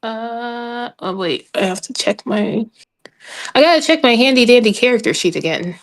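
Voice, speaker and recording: A woman's voice: a little high, expressive, moderate pace, dry recording. A woman playing a tabletop game, narrating and chatting casually in an informal, giggly way. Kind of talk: casual roleplay narration and chat.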